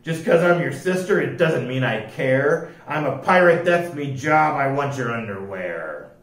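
A man's voice: mid-range voice, animated, a little slow, close to an everyday voice. A man voicing a scary, bossy one-eyed woman pirate captain who barks orders. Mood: brusque. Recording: echoey, from a distance.

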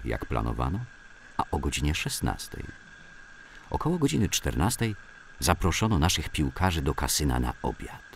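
A man reading scripted memoirs as a narrator, in a measured storytelling manner. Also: a bass voice, a little hiss or hum.

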